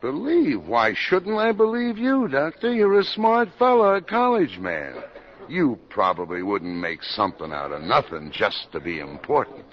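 Gruff, animated police captain played by a man, old muffled radio. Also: medium pitch, normal speed, lightly acted. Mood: scornful. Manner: brusque, sardonic, streetwise. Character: gruff, sarcastic, hard-bitten widowed police captain. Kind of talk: 1950s radio drama acting. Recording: dull vintage 1950s radio recording.

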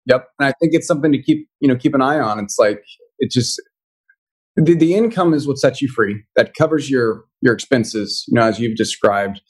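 A man's voice: mid-range voice, expressive, moderate pace, husky. Earnest man musing on investing. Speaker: guest, financial coach. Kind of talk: casual interview chat.

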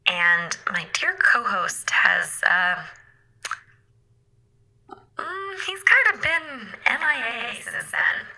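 A sardonic shipboard AI, as a woman voices her pointedly picks apart a crewmate's excuses. Her voice is bright and lively, and she takes her time. Faint music sits underneath. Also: a little high, very slow, long pauses, full character voice, poor audio. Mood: wry. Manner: pointed, reproachful. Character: sardonic female AI of a space station. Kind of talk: audio drama acting.

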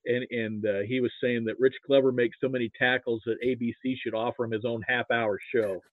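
A man's voice: medium pitch, flat delivery, a little fast, no room sound, narrow, tinny audio. A middle-aged or older man from Nebraska reminiscing casually about sports as an interview guest, rambling and often repeating words.

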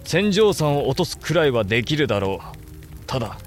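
An actor playing a brooding, resolute samurai lord and elder brother in a Japanese period audio drama, speaking gravely and with deliberate command. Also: male voice, medium pitch, big pitch swings, faint music behind, natural voice.

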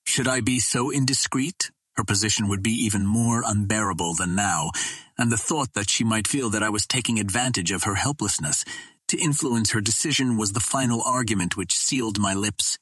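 A man reading an adventure novel aloud as first-person narration, in a measured, earnest literary manner. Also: lowish voice, a bit bright, breathy edge, no room sound. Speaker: audiobook reader.

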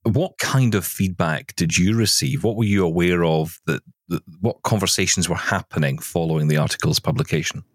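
A man's voice: sits low, moderate pace, few pauses, no room sound, clean studio sound. A man hosting a conversational interview, speaking emphatically and repeating phrases to stress his point. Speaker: show host interviewer.

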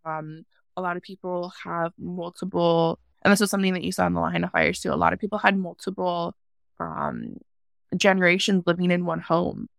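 A young woman from Hawaii speaks as a guest in a relaxed interview, with a low, gravelly voice. She talks thoughtfully and fills pauses with many "um"s. The sound is dry and close to the mic. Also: no echo. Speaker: farmer guest. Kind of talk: casual interview conversation.

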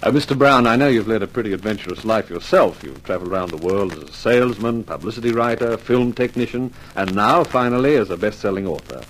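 A man's voice: on the low side, expressive, quick pace, few pauses, slight background noise, rough recording. A man hosting a 1950s radio mystery programme, introducing and interviewing in a polished, formal showman's manner. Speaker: radio announcer and host.

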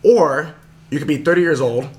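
A man's voice: a little high, a little mellow, slight background noise. A young man hosting a podcast, telling a motivational story in a casual, earnest way with lots of fillers. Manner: fast, earnest, full of fillers. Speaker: podcast host.

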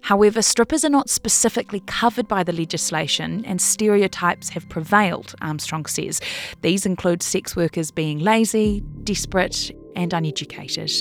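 A woman's voice: on the low side, moderate pace, soft background music. A woman reading a scripted long-form news feature as narrator.

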